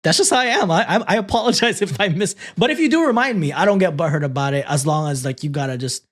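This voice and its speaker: A young man from the Philippines, a native Tagalog speaker, co-hosting a casual chat, animated and quick to swear and laugh. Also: on the high side. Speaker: podcast co-host.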